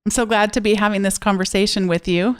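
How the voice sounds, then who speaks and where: A woman's voice: mid-range voice, steady tone, fast talker, clear, resonant tone. A woman hosting a podcast interview, speaking in a friendly, conversational way. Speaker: podcast host.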